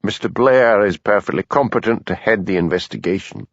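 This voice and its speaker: A man voicing a cold, stern police superintendent who speaks quietly and frostily, in a dull lo-fi recording. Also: fairly low pitch, brisk, vocal fry, dry recording. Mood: frosty.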